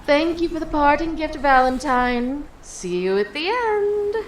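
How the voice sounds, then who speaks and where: Abyssal villainess: echoing, high, slow and gloating, theatrical menace. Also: female voice, expressive. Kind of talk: audio drama acting. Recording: echoing, faintly noisy ambience.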